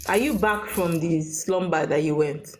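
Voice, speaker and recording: A woman's voice: lowish voice, moderate pace, faint music behind. A woman hosting a live call-in chat, speaking in a directive way to the people who join. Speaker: livestream host giving spiritual consultations. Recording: noisy livestream with clicks.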